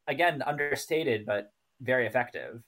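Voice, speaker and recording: A man's voice: medium pitch, normal speed, fairly bright tone. A man co-hosting a podcast, talking through his analysis casually and thoughtfully, often hesitating and rephrasing. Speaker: podcast co-host.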